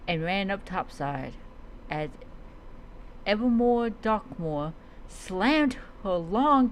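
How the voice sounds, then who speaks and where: A streamer narrating a fantasy adventure tale in a dramatic storytelling style, halting and often stumbling over and repeating words. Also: mid-range voice, slight background noise, poor audio. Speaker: streamer narrating own roleplay character's story.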